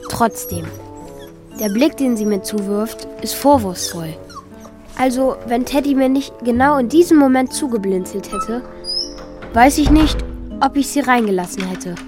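A woman's voice: on the high side, a little slow, over music, natural voice. A woman playing a thoughtful child who narrates their own story in a scripted audio drama. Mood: observant.

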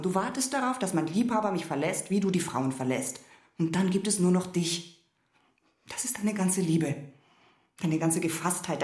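A woman playing a proud, wounded wife in a German radio drama, reproachful and intense, sometimes dropping into hushed asides. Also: fairly low pitch, roomy sound, natural voice. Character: wounded, proud wife confronting her husband and lover.

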